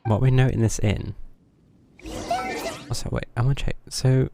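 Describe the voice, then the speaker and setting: A man live streaming a game with casual, low-key commentary, half muttering to himself. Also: fairly even intonation, moderate pace, gravelly, noisy background.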